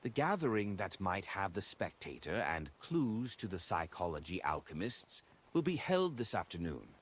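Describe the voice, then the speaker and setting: A man's voice: sits low, very animated, normal speed, slightly rough, exaggerated voice. Languid worldly young woman, voiced by a man, lilting. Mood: pleased, knowing. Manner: languid, knowing.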